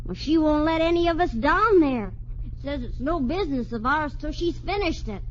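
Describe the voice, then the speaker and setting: A woman's voice: high-pitched, lively intonation, normal speed, a little hiss or hum. An actress playing an eager, curious young farm boy in an old radio drama, boyish in manner. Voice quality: boyish, wide-eyed. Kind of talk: 1940s radio acting. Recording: dull old radio broadcast.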